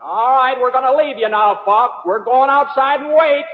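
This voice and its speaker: Tough lawman, very high raised voice, commanding, radio western, heavy noise. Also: moderate pace. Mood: commanding, urgent. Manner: loud, commanding calls. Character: tough, determined western lawman calling out a fugitive. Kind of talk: old-time radio western acting. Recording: very noisy, echoing, phone-like radio recording.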